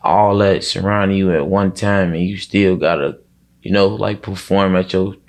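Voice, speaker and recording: Male rapper as interview guest: deep, creaky, slangy, thoughtful, halting casual delivery. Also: steady tone. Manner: slangy, reflective, halting, leans on filler words.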